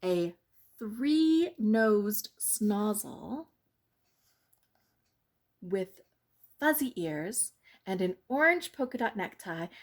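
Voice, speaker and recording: Husky woman reading a bedtime story. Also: mid-range voice. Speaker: storyteller reading a picture book.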